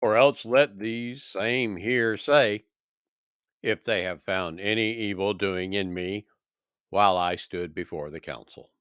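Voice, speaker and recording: A man voicing an accused apostle defending himself in a scripture reading, earnest, formal and measured. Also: fairly low pitch, fairly bright tone, smooth, no room sound, clean studio sound. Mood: earnest, defensive.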